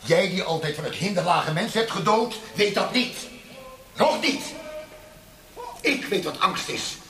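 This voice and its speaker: Menacing interrogator, wildly swinging intonation. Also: male voice, high-pitched, animated, bright, forceful tone, full character voice. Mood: bitter, intense. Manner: mocking, theatrical, slow deliberate threats. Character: menacing, sneering government interrogator, scarred by a terrorist attack. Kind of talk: Dutch radio play acting. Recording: hissy old radio play recording.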